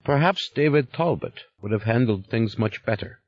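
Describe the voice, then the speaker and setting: A man putting on a smooth Scandinavian-accented envoy. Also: animated, normal speed, dry recording, highs cut off, lightly acted. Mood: conciliatory. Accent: Scandinavian with Eastern European touch. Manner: low, conciliatory, patient. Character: big smooth male envoy of a secret order.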